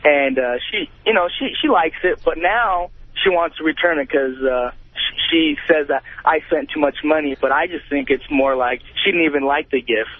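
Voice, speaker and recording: A man's voice: fairly high pitch, moderate pace. A young man calling a radio show, chatting casually with an easygoing, slightly sheepish and hesitant delivery. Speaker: phone-in caller. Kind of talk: casual chat. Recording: tinny, noisy phone line on radio.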